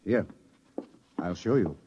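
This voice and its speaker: An actor with an American accent playing a grim, authoritative scientist in a 1950s radio drama, lecturing with deliberate emphasis. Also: male voice, low voice, lively intonation, dark tone, slight background noise. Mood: ominous explaining. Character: grim, authoritative male scientist-doctor, coolly ominous. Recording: dull lo-fi old radio recording.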